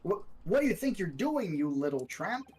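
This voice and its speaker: A man's voice: high-pitched, sing-song, moderate pace, a little mellow. A man voicing a haughty, indignant upper-class snob who splutters imperiously, in a fan dub of a video game. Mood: outraged, commanding. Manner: imperious, spluttering, stammering with outrage.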